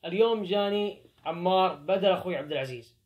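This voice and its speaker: A man's voice: high voice, quick pace, dull audio. A young man, hosting a challenge video and chatting directly to viewers. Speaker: channel host. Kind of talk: vlog challenge intro.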